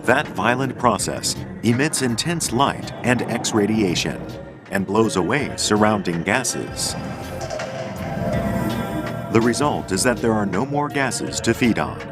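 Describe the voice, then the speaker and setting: A man reads scripted documentary narration in a low register. His delivery is measured and explanatory, with lively, varied intonation, and music plays clearly underneath.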